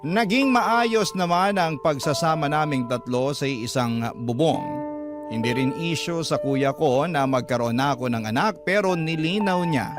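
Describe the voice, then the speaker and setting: Higher-voiced Filipino male storyteller over guitar. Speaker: radio storyteller reading a listener's letter. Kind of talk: scripted first-person narration. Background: prominent guitar music.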